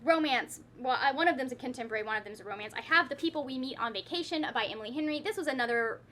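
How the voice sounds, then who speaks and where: A woman's voice: sits high, lively intonation, racing, fairly bright tone, a little hiss or hum. A woman talking casually as a book vlogger, rambling and correcting herself with playfully wry asides. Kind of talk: casual chatty book talk to camera.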